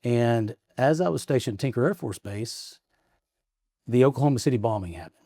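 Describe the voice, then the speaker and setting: A man's voice: medium pitch, animated, brisk, dark tone, dry recording. Man, forties, from arkansas, interview guest, rambling, restarts stories, close dry mic. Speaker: guest, state representative. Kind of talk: casual interview conversation. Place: office in the state capitol.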